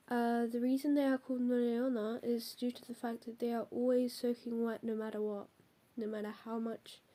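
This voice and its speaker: A woman's voice: a little high, mellow. A young woman with a cold and a stuffy nose, hosting and reading out folklore notes, hesitant with many ums and apologies. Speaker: host.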